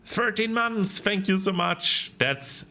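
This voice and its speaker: A man from Austria with a deep baritone voice and an Austrian accent, chatting casually and giggling as he hosts a game livestream. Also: slow pace. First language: German. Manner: giggly, expressive. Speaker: streamer hosting a game stream. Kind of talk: casual livestream chat while gaming.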